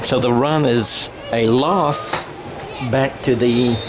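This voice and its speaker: Man calling football over clear background noise. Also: medium pitch, slow. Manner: rambling, self-correcting, folksy asides. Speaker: play-by-play announcer. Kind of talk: radio-style football commentary. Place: outdoors at a football stadium.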